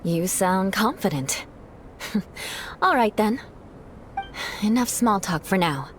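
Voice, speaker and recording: A woman's voice: medium pitch, animated, takes it slowly, slight background noise. An actress playing a curt, haughty young noblewoman knight in a video game. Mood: cool. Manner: curt, imperious. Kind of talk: game voice acting.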